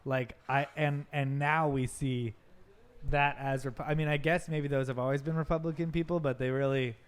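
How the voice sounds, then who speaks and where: A man co-hosting a podcast in casual conversation, talking loosely and haltingly as he thinks aloud. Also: mid-range voice, steady tone, smooth. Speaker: podcast co-host.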